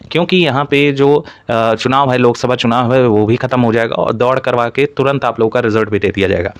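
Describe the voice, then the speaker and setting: A man's voice: medium pitch, no echo. A man giving an informal explainer as a presenter, addressing students directly with repeated filler phrases. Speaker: exam-news presenter for job aspirants. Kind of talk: informal explainer narration to camera-style audience.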